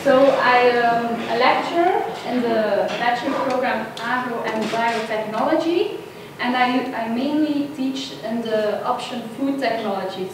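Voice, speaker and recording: A woman, a lecturer giving a guest lecture with many pauses and "uh"s. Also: on the high side. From Belgium. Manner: friendly, frequent "uh" pauses. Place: large echoey lecture hall. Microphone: distant room mic. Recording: echoey hall from far off, noisy.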